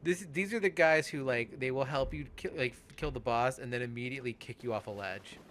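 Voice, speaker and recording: A man chatting casually as he commentates on a game, halting and often trailing off mid-thought. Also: mid-range voice, a bit bright, slight background noise. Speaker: let's play podcast host.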